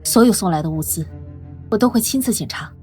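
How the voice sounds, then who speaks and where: An actress playing a wary, resolute young squad leader in an animated drama, firm and no-nonsense. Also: female voice, medium pitch, fast, soft background music, natural voice. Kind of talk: dramatic dubbed animated-comic dialogue.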